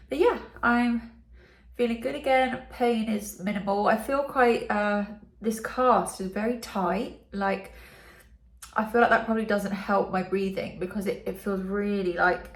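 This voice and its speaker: A young woman from the UK talking casually in a video diary, her nose blocked and congested, pausing for breath as she chats with many ums and likes. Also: medium pitch, vocal fry, roomy sound. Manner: chatty, lots of ums and likes. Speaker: vlogger.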